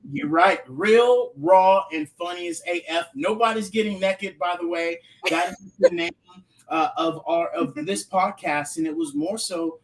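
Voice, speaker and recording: A man's voice: high-pitched, unhurried, poor audio. A man co-hosting a live podcast in an emphatic hype style, repeating phrases for effect. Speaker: podcast co-host.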